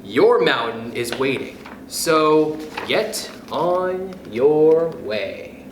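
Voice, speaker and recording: A fairly high-voiced man reads a children's picture book aloud slowly and deliberately, his pitch swinging widely as he plays with each line. He chuckles between passages. The room around him sounds echoey. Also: animated, background noise. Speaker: youth club storyteller.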